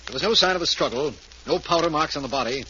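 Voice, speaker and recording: An actor playing an earnest, businesslike district attorney in an old-time radio mystery. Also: male voice, on the high side, lively intonation, fast, pushed and bright. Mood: earnest, insistent. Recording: muffled, hissy old radio recording.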